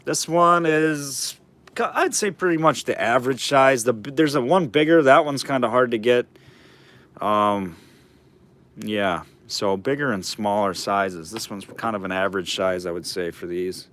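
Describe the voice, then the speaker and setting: A man calling a live auction, his voice going hoarse and scratchy from hours of talking. He sits at a middle pitch and rambles casually between bid calls, lifting his voice for each new number. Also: a little slow, fairly bright tone.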